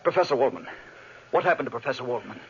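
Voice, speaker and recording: Man acting quick, earnest, worried friend. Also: medium pitch, lively intonation, lightly acted. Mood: hushed dread. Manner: earnest, urgent. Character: worried, loyal male friend of a scientist. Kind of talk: 1940s radio acting. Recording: dull, noisy 1940s radio recording.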